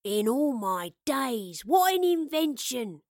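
A woman voicing a courtly old-fashioned gentleman. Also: mid-range voice, fairly even intonation, moderate pace, no echo, clean studio sound, close to an everyday voice. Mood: amazed.

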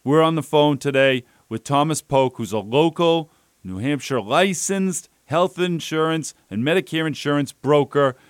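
A man's voice: fairly high pitch, moderate pace, dry recording, studio quality. A man hosting a local radio talk show, chatty and upbeat, rambling a little as he talks.

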